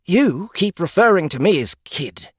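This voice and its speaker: A man's voice: high-pitched, sing-song, measured pace, breathy edge, dry recording, studio quality, pitched above the performer's own voice, heavily acted. A man voicing a pompous, lecturing lizard guide in a fantasy audiobook, condescending and theatrical. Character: pompous, exasperated ninety-one-year-old male lizard guide, youthful-looking. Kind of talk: audiobook character acting.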